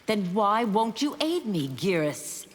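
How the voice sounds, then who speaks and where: An actress playing an earnest, determined penitent seeking redemption in a video game, grave and searching. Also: female voice, medium pitch, very animated, slow pace, big-room sound, slight background noise. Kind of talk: video game character dialogue.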